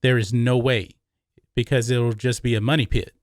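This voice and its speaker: A man's voice: medium pitch, steady tone, normal speed, no room sound, clean studio sound. An American man hosting an opinionated film commentary, speaking emphatically and repeating phrases for stress. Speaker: YouTube movie commentary host.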